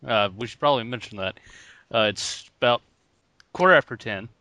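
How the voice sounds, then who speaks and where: A man's voice: medium pitch, fairly quick, sharp tone, dry recording. A man co-hosting a podcast in casual joking banter, laughing often. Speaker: podcast co-host. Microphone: podcast mic.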